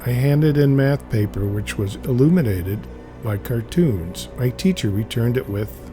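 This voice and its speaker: A man's voice: mid-range voice, lively intonation, a little slow, music in the background. A man giving a scripted narration, reading a memoir-style comic aloud.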